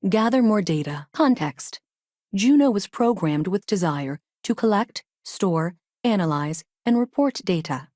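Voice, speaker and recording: Low-voiced, stilted female AI. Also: a little mellow, no echo, studio quality. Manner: stilted, deliberate, prefacing statements with one-word labels. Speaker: video game character. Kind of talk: video game voice acting, clipped machine-like statements.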